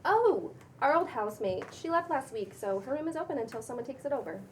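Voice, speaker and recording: Bubbly, high-voiced young influencer woman woman acting. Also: very animated, quick pace. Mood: explaining, casual. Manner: casual, chirpy. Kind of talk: table read of a pilot script. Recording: faint background noise.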